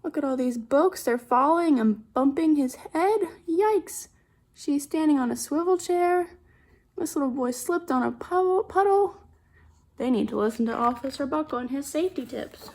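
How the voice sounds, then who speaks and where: A woman reading a picture book aloud to children, expressively, pausing to comment and ask questions as a teacher would. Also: sits high, expressive, darkish tone. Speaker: children's storybook reader, teacher.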